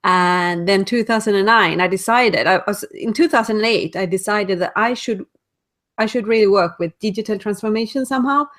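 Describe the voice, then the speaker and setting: A woman with a Swedish accent, a guest answering interview questions over a video call, pausing to search for words. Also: mid-range voice, a bit bright. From Sweden. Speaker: guest, speaker and author.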